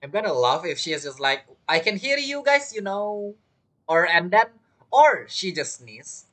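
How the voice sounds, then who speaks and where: A woman's voice: on the low side, very animated, measured pace, background noise. A woman giving casual, chatty gameplay commentary as a streamer, with teasing asides. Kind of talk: casual game playthrough commentary.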